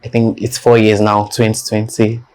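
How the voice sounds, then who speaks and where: A man's voice: lowish voice, completely flat delivery, moderate pace, a little mellow. A young man with a Nigerian accent, a guest in an interview, talking bluntly and defiantly and repeating his points for emphasis. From northern Nigeria. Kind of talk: candid personal interview.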